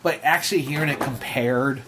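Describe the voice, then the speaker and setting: A man's voice: medium pitch, normal speed, fairly bright tone, slight background noise. Rambling man chatting casually. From Detroit area. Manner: rambling, many restarts and filler words. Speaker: host. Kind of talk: casual chat. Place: room with a shelf. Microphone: mic on a flexible tripod clamp.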